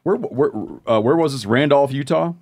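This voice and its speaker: A man's voice: mid-range voice, moderate pace, darkish tone, breathy edge. A man hosting a casual podcast chat, reading listener mail aloud and making joking asides. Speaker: podcast host.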